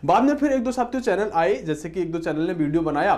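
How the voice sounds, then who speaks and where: High-voiced man teaching exam candidates. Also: lively intonation, fast talker, a little mellow. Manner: repeatedly addresses listeners as friends. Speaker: exam coaching teacher and channel host. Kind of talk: informal explanatory lecture to students.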